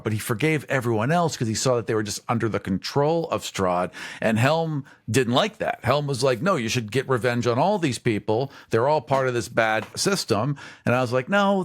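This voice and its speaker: A man co-hosting a podcast, reading listener questions and telling a lively game story with laughs. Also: mid-range voice. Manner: animated storyteller, laughs easily. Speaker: podcast co-host.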